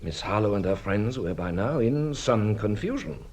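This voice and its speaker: An actor playing a shrewd, courteous police detective in a radio drama, a husky voice and a formal, measured, theatrical manner. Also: male voice, lowish voice, animated, a little mellow. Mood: explaining.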